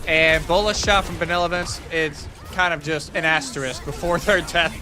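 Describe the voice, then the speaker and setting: A man giving live analytical esports commentary in a casual, conversational, somewhat halting way. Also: fairly high pitch, steady tone, music in the background.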